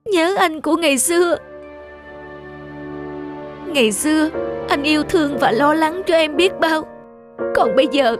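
An actress playing a lonely, hurt young wife in an animated drama, earnest and plaintive. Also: female voice, sits high.

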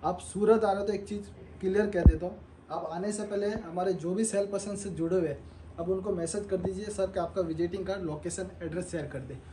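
A young man pitching his clothing collection to viewers in a showroom, talking persuasively while showing garments. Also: fairly even intonation, a little fast, background noise. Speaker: clothing wholesaler.

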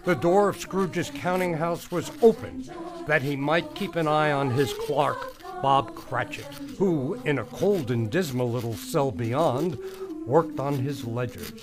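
A man's voice: on the high side, measured pace. A man narrating a radio play as a dramatic storyteller, theatrical and emphatic.

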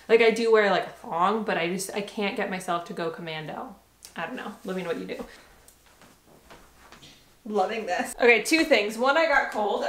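A young woman in her twenties, chatting casually to the camera at home in a bubbly, gushing way. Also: a little high. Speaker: lifestyle vlogger. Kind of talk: casual vlog chat to camera. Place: small apartment, indoors. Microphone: camera mic, handheld or set on a tripod. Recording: echoey room with faint noise.

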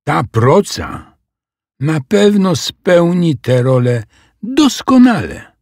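Man putting on a higher-pitched clever little girl. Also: sing-song, takes it slowly, a little mellow, no room sound, clean studio sound, full character voice. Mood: confident. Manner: explaining, assured. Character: clever, confident little girl, dragon's helper.

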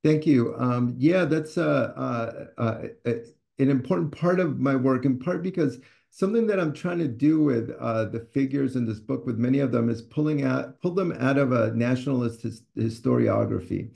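A man's voice: mid-range voice, moderate pace, creaky, a little mellow. A man in his sixties from Ecuador, an author answering questions on a video call from a study, thoughtful and hesitant with many fillers and restarts. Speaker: author and scholar. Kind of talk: conversational talk on a video call. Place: home study with bookshelves. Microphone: video call webcam.

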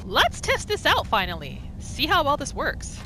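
A woman giving excitable playthrough commentary, exclaiming and talking to the game's characters as she roleplays. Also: high-pitched, moderate pace, a little hiss or hum. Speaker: gaming video host. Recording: game music behind the voice. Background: video game music and sounds.

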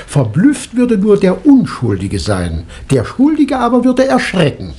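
A man acting a shrewd, absent-minded doctor detective in a 1960 German radio play, explaining in a theatrical, deliberate way. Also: high-pitched, wildly swooping pitch, warm tone, exaggerated voice. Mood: smugly explaining. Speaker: actor in a crime radio play.

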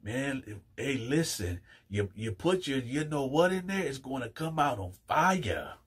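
A man doing a hushed Chicano inmate. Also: mid-range voice, sing-song, unhurried, husky, full character voice. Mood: warning. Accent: put-on Mexican-American. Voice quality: hushed, drawling, says "Holmes" a lot. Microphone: lapel.

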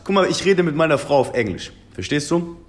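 A man's voice: on the high side, sing-song, fairly quick. A young man in his twenties from Germany, a rapper talking earnestly over a phone video call, calling the interviewer brother again and again. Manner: earnest, repeats "Bruder" often. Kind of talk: casual video-call chat. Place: indoor room at a table.

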